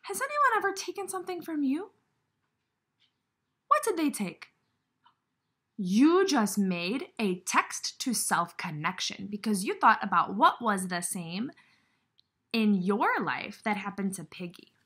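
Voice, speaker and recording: A woman's voice: mid-range voice, big pitch swings, measured pace, pauses often, a bit bright, slightly rough. A woman, reading and asking questions as a kindergarten teacher. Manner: instructional, questioning, addressing children directly. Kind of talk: read-aloud lesson for young children. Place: indoor room with brick wall, by a window.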